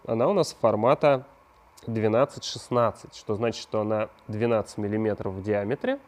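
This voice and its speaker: A man hosting a craft channel, explaining a prop build step by step in a conversational way and posing questions to the viewer. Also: fairly low pitch. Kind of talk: hands-on prop-making tutorial narration.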